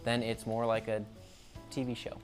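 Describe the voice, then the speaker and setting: A man's voice: medium pitch, completely flat delivery, moderate pace, soft background music. A man talking casually to camera in an unscripted monologue, rambling and correcting himself mid-sentence, with the odd stutter. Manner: stutters now and then. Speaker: YouTuber.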